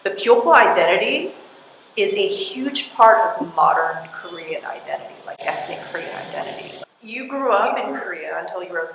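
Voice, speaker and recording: A woman's voice: mid-range voice, lively intonation, normal speed, gravelly, background noise. A woman interviewing a guest in a casual chat, filling her talk with likes and you knows. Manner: chatty, filler-heavy with like and you know. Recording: echoey hall with faint ambience.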